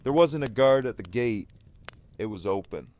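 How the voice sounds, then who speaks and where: A man playing a hard, uncompromising survivor leader in an audio drama, stern and deliberate. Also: mid-range voice, expressive, darkish tone, natural voice. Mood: grim warning.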